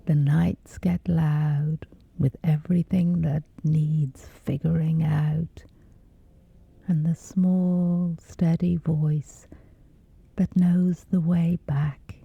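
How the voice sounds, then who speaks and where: A woman softly guiding a scripted sleep meditation in a breathy, gentle, soothing hush with long pauses. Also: low voice, no room sound, highs cut off. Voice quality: breathy, whispery soft.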